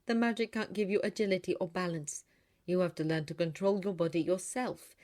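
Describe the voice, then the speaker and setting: A woman's voice: on the low side, a little fast, a little mellow, close to an everyday voice. A woman voicing a caring elder sister in a story, explaining and cautioning in a reassuring way. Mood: gently cautioning.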